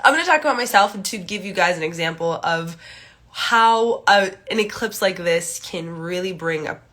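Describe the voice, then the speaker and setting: A woman's voice: fairly low pitch, animated, normal speed. A young woman with a stuffy, congested nose, chatting casually to viewers on a phone livestream with lots of filler words, recorded in a small room. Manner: chatty, many "like" and "um" fillers, greets viewers brightly. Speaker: astrology livestream host. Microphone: phone camera at arm's length.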